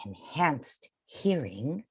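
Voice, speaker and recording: A woman reading aloud to children in an expressive, theatrical storytelling style, drawing out key words. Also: deep voice, drawn-out words, breathy edge, no echo. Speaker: children's picture book reader.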